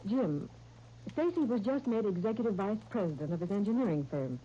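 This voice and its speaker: A woman acting in 1930s radio soap style as a patient, devoted, gently firm young wife, soothing and earnest as she reasons. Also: mid-range voice, animated, a little fast, natural voice. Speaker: radio actress. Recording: dull, noisy 1930s radio recording.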